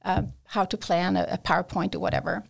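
A woman's voice: on the low side, fairly even intonation, a little fast, vocal fry. A woman working as an academic English tutor, answering interview questions in a hesitant way with many fillers and restarts.

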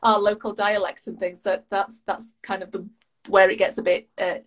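A woman's voice: mid-range voice, level tone, moderate pace. A woman with an English accent, a guest on a podcast, chatting enthusiastically over a video call and restarting her words. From United Kingdom. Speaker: guest coach. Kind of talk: casual chat.

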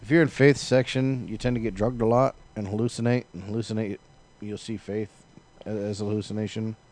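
A man's voice: on the low side, steady tone, a little fast, darkish tone. A man, perhaps in his thirties, chatting informally about video games as a co-host, with plenty of profanity.

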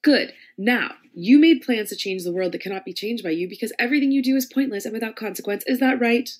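A woman's voice: on the high side, fast talker, voice pushed up, close to an everyday voice. A woman voicing a commanding, icy female dominatrix for hire who speaks in a cool, clipped, controlling way. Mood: domineering. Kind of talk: dramatized reading of parody fiction.

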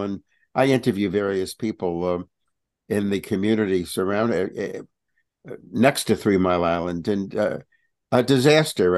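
A man talking as a guest on a video call, halting with many pauses and restarts. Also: fairly low pitch, darkish tone, no room sound, clean studio sound. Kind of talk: casual talk over video call.

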